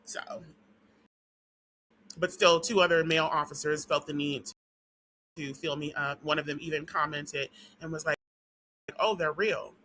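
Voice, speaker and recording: A woman vlogger talking casually to her viewers in a rambling, profane rant full of "um"s, her voice dry and hoarse as it gives out. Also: sits low, fairly even intonation, unhurried, pauses often, fairly bright tone, a little hiss or hum, poor audio. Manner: rambling and profane, with frequent "um" and "what do you call it".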